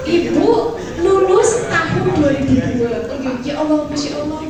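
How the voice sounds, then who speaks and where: A high-voiced young woman talks through a lesson in a chatty way, firing questions at a crowd of teachers. She sounds distant in a big echoing hall, with audience noise behind her. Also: a little slow. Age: 20s. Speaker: English teacher and talk show guest speaker. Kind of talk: lively presentation to a teacher audience.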